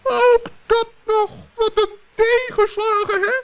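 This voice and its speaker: A performer playing a small, polite, anxious male forest gnome in a children's radio play, with a squeaky, hesitant voice. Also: little pitch movement, slow, heavily acted. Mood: dismayed. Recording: dull old radio play recording.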